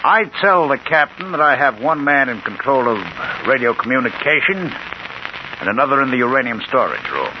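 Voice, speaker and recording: A man's voice: medium pitch, big pitch swings, fairly quick, lightly acted. An actor playing a confident, scheming male criminal ringleader in an old-time radio crime drama, insistent and methodical as he persuades. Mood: confident, calculating. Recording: noisy, dull old radio recording.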